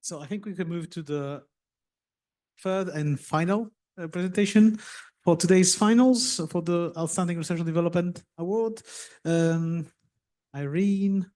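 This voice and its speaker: A man's voice: high-pitched, unhurried, darkish tone. A man moderating a conference awards session, speaking politely and formally.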